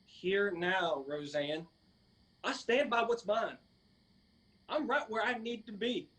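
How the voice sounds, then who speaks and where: Earnest, high-voiced young Appalachian man. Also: slow, a bit bright, poor audio. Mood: tender, sorrowful.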